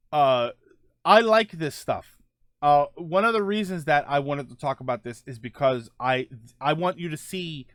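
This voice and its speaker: A man's voice: a little high, fairly bright tone, no room sound. A man hosting a casual gaming livestream, talking in a playful, rambling, conversational way as he answers viewers. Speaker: host of a gaming show and livestreamer. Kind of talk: casual livestream chat about video games, answering viewer comments.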